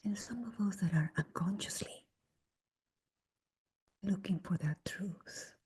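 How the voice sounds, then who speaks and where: A woman leading a guided meditation in a breathy, husky near-whisper, speaking gently in short phrases with long pauses. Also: medium pitch. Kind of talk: slow guided meditation talk.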